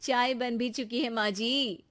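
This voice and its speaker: Woman doing a young daughter-in-law, high voice, boastful, teasing, impatient, children's cartoon story. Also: fairly even intonation, unhurried, a bit bright. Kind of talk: children's animated moral story.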